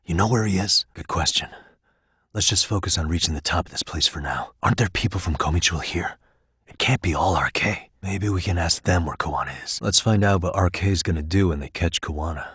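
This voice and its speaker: A streetwise male detective in a video game. His voice sits low with a slightly rough edge. He is determined and probing, with a dry wit, and is recorded tight with no room sound. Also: fairly quick, a bit bright, no echo, full character voice. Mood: intent, serious. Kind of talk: scripted video game dialogue.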